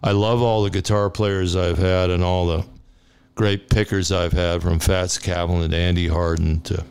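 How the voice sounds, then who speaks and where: A man's voice: deep voice, flat delivery, moderate pace, a little mellow, clear, resonant tone. A man speaking casually as a singer-songwriter in an interview, telling anecdotes in a rambling, self-assured way.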